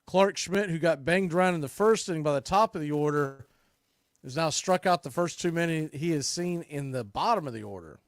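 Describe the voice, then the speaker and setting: A man's voice: on the high side, normal speed, dry recording. Dry-sounding baseball play-by-play man. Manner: steady calls, rising excitement on big plays. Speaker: play-by-play announcer.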